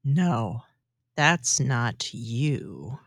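A woman's voice: extremely low pitch, very animated, drawn-out words, dry recording. A woman speaking scripted lines in a comedy radio skit.

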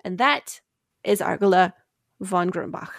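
A woman's voice: lowish voice, measured pace, vocal fry, no echo, clean studio sound. A woman hosting a podcast, telling history in a conversational way among friends.

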